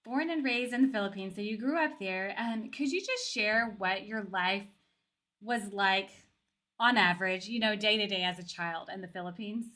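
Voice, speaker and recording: A woman's voice: medium pitch, normal speed, pushed and bright. A woman hosting an interview podcast, moving between scripted narration and friendly conversation. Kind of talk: warm-up scripted intro, then conversational interview.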